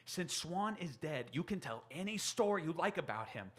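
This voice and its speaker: An earnest writer in a radio play. His somewhat high voice is urgent and persuasive, pressing his case with desperate sincerity. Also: sing-song, normal speed. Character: earnest, desperate writer friend pleading a plan. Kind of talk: stage radio drama acting.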